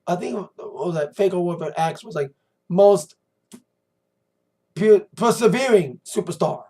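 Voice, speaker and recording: An American man with a slightly hoarse voice, giving a rambling, informal and emphatic recap as a wrestling review vlogger. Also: high voice, animated, unhurried, dark tone. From United States. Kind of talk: casual recap commentary to camera.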